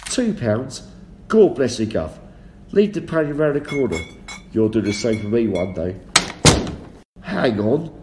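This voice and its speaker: A man's voice: medium pitch, very animated, unhurried, long pauses, very noisy. A man playing a cheeky, incredulous Cockney working-class milkman in a homemade comic radio play. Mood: grateful, then indignant. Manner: incredulous, chatty, repeats things back.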